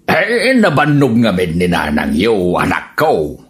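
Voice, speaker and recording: A man's voice: deep voice, big pitch swings, takes it slowly, heavily acted. An older man with an Ilocano accent playing a lecherous, whiny old husband in a comic radio drama, given to exaggerated groaning and yelping. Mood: sheepish, amused.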